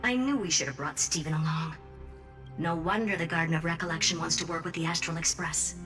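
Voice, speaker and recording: A woman's voice: low voice, a little fast, music in the background, close to an everyday voice. A woman voicing a brilliant, haughty, flippant scientist in a video game, breezy, self-assured and teasing. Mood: musing, dry.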